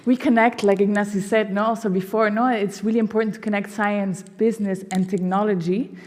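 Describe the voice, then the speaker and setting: A woman from Belgium presents to an audience with eager energy, often saying "you know". Her voice sits at a middle pitch. Long reverb from the hall trails behind her words. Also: fairly even intonation, a little fast, a little mellow. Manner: enthusiastic, fillers like "you know" and "no". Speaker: foundation presenter. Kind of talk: public presentation. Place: symposium hall.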